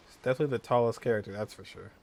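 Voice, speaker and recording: A man's voice: medium pitch, very fast, gravelly, darkish tone, a little hiss or hum. A man giving casual playthrough commentary as a gaming streamer, with mild exclamations.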